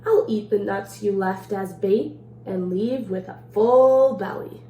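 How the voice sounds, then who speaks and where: A woman's voice: medium pitch, takes it slowly, warm tone, lightly acted. A woman voicing a boastful, mischievous old male leprechaun in a rhyming picture-book read-aloud, teasing and playful, in a roomy space. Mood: cocky. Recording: roomy echo, faint background noise.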